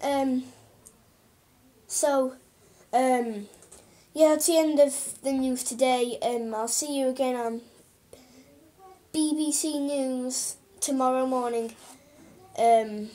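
A boy playing a croaky hesitant newsreader lady. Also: very high voice, fairly even intonation, takes it slowly, pauses often, warm tone. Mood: breezy. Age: child. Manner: hesitant, full of ums and restarts. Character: female TV newsreader, chatty and hesitant. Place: small room indoors. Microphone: webcam at close range.

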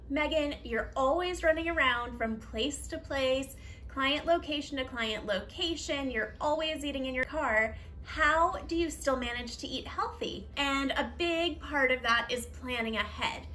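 A woman's voice: sits high, measured pace, pushed and bright, a little hiss or hum. A young woman giving a chatty, upbeat cooking demonstration in her home kitchen, talking while she prepares food. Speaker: behavior analyst. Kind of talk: cooking demo with tips.

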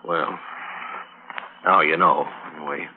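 Low-voiced, earnest cowhand. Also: male voice, steady tone, slow, a little hiss or hum. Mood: earnest, uneasy. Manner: plain-spoken, earnest. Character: repentant cowhand warning a former foe. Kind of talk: old-time radio western acting. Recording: muffled, narrow vintage radio recording.

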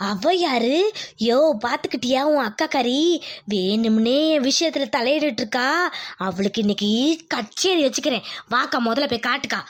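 A woman's voice: on the high side, normal speed, natural voice. A woman voicing a hot-tempered, domineering village mother-in-law in a cartoon family drama, scolding and threatening. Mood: furious, threatening.

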